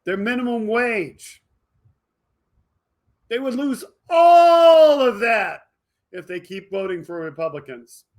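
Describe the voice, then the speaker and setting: A man hosting a video podcast, delivering an impassioned, emphatic political monologue straight to his viewers, swinging between forceful outbursts and lower asides. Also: very animated, slow, pauses often. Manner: emphatic, fired up, addressing viewers directly. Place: indoor home studio with green screen.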